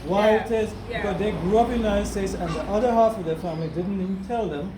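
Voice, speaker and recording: A man's voice: high-pitched, fast, a crowd in the background. An older Trinidadian man giving an earnest, preachy speech to relatives at a family gathering, repeating phrases for emphasis. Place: home gathering after a funeral service. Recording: echoey room with loud background noise.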